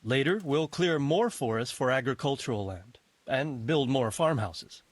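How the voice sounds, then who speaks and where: An actor playing an ageing medieval nobleman in a video game, practical, wry and quietly commanding. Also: mid-range voice, animated, dry recording. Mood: measured, practical. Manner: measured, authoritative, wry. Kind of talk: video game cutscene acting.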